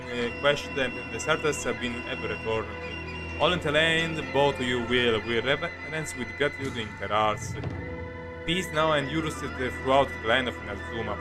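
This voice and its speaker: A male streamer with a mid-range voice talks casually over his gameplay. His delivery is halting and hesitant, and game music plays clearly behind him. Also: medium pitch. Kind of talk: casual live commentary on gameplay. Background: video game music.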